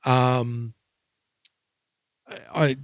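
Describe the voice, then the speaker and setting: A man in a casual music discussion as a podcast co-host, speaking in a measured, hesitant, thoughtful way. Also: medium pitch, level tone, no echo.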